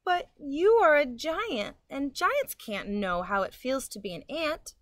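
A woman's voice: high voice, lively intonation, unhurried, dry recording, voice pushed up. A young woman reading the part of a tiny, earnest, plucky ant in a storybook, pleading with careful emphasis. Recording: outdoor ambient noise.

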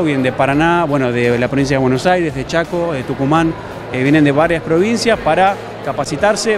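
A man in his thirties with an Argentine accent, a self-defense instructor giving spontaneous, explanatory interview answers into a handheld microphone in a gym. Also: on the high side. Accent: Argentine Spanish. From La Plata, Argentina. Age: 30s. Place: large busy gym hall, indoors. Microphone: handheld reporter microphone.

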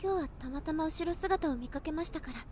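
Shy, sweet schoolgirl played by a woman, extremely high voice, hesitant and polite, audio drama with faint noise behind. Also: brisk, a little mellow, natural voice. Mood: shy, earnest.

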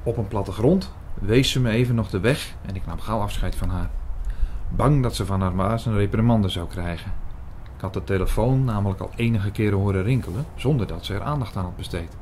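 An actor as a reflective, measured male priest narrator. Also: lowish voice, a little mellow, slight background noise, natural voice. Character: earnest priest narrator, shy and secretly smitten. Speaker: narrator and lead in an audio drama. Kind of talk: dramatised first-person audio-drama narration.

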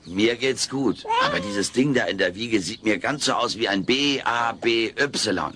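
An actor playing a cheeky, childlike furry alien with drawling wisecracks in a German sitcom audio drama. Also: male voice, on the low side, a little slow, bright, forceful tone, a little hiss or hum. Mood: baffled, indignant. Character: wisecracking furry male alien, over 200, childlike and tender.

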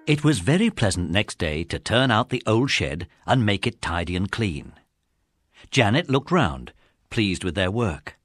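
Animated British male storyteller. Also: fairly low pitch, a little slow, no room sound. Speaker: narrator. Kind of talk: scripted children's story narration.

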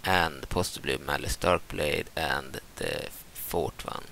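Gravelly low Swedish-accented man. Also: takes it slowly, pushed and bright, a little hiss or hum. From Sweden. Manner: hesitant, many fillers and restarts. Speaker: gaming channel host. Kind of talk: casual gameplay mod walkthrough.

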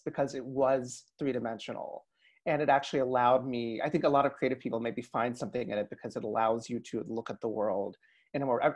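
A man talks quickly and in a lively way, poking fun at himself. His voice is husky. He is heard through earphones on a video call. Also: medium pitch. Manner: lively, laughing, self-deprecating. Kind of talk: casual panel talk.

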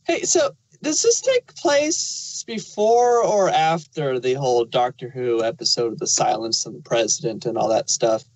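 Mid-pitched casual speaker, very dry sound. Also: expressive, unhurried, few pauses, dry recording, low-quality recording. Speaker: review podcast panelist. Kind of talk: casual chat.

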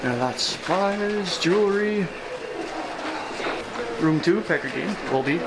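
A man's voice: high-pitched, expressive, unhurried. A man with an Upper Midwest American accent chatting casually and laughing often while walking around a crowded expo hall, talking into a handheld camera. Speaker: vlogger at a reptile expo. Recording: loud crowd noise in hall.

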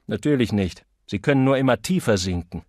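A man's voice: mid-range voice, lively intonation, fairly quick, darkish tone, dry recording, pitched below the performer's own voice, lightly acted. A man voicing a cool, enigmatic man who teases in a dry, condescending way, as an audiobook character. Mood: mocking, aloof. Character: cool, mocking, enigmatic man toying with his listener.

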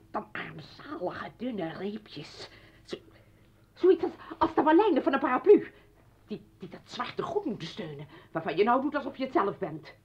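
An actress playing an exasperated, accusing mother confronting her ex-husband in a radio play, with a husky voice. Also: medium pitch. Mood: bitter, scornful. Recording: dull old radio recording.

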